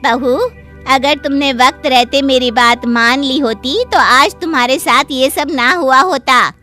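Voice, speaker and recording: Lady doing fed up middle-aged cartoon saas, bright high voice, stern n nagging. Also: measured pace, faint music behind. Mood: angry, scolding. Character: exasperated, scolding middle-aged mother-in-law. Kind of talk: Hindi moral story cartoon dub.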